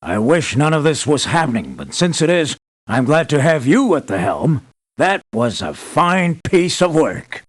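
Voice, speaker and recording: An actor playing a blunt, anxious and demanding boss who hires mercenaries in a video game, speaking in an emphatic, articulate, businesslike way. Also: male voice, on the high side, very animated, unhurried. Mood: measured. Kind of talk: video game character voice lines.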